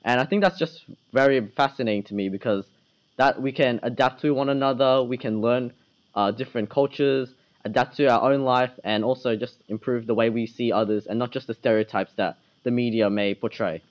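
Teen boy school podcast host, mid pitch, casual and earnest, dull lo-fi sound. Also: brisk. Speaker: student interviewer. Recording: dull low-fidelity school recording.

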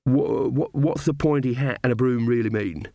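A man's voice: mid-range voice, moderate pace, warm tone, no echo, clean studio sound, natural voice. A man voicing a nervous but cheeky male innkeeper in a storybook, hesitant and sceptical. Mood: nervous, challenging.

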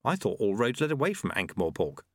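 A man's voice: on the low side, sing-song, brisk, no echo, clean studio sound. A man voicing a young apprentice monk in an audiobook, curious, puzzled and questioning.

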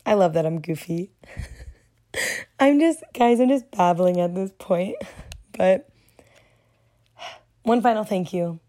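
A young woman hosting her own podcast, talking casually and affectionately to listeners while her voice is hoarse and nearly gone. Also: mid-range voice, expressive, slow, pauses often, dark tone. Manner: chatty, affectionate, rambling. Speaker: podcast host. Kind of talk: casual solo podcast talk.

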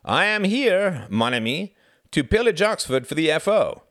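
Male reader as jovial British diplomat: high-pitched, booming, teasing, very animated, storybook delivery. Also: normal speed, no echo, studio quality. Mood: breezy, enthusiastic. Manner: hearty, exclamatory, persuasive.

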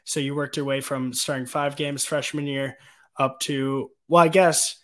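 A man's voice: mid-range voice, steady tone, unhurried, a bit bright. A man hosting a podcast, asking long, rambling questions with plenty of "um" and "uh" in a casual tone. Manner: rambling questions with filler words. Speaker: podcast host.

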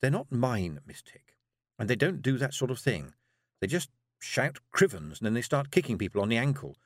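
A man's voice: mid-range voice, sing-song, normal speed, no room sound, clean studio sound, pitched above the performer's own voice. A man voicing a proud, indignant young girl witch in a storybook, defiant but dutifully polite. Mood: defensive.

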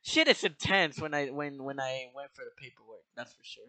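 A man's voice: medium pitch, a little fast. A man in his twenties hosting a casual podcast chat, swearing often and laughing between lines. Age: 20s. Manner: profane, animated, laughs often. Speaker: podcast host.

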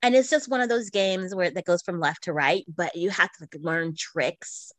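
A woman's voice: fairly low pitch, normal speed, dry recording. A middle-aged woman co-hosting a podcast, chatting casually about her hobbies in an informal way. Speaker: podcast co-host. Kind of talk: casual chat about video games.

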